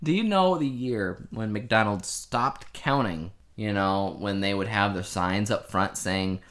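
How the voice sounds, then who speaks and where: A man's voice: lowish voice, measured pace, fairly bright tone. Conversational male podcast co-host. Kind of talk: casual two-person podcast chat.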